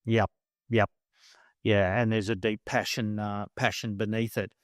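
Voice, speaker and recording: A man's voice: on the low side, flat delivery, unhurried, dry recording. Affirming male podcast host, dry sound. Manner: affirming, probing questions. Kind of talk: interview conversation.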